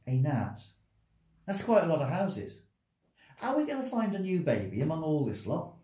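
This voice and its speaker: A man voicing a nervous young shepherd who talks in a croaky, hoarse way, in an echoey room. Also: a little high, huge pitch swings, moderate pace, dark tone, voice pushed up. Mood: jumpy. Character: nervous young male shepherd, blunt and jumpy.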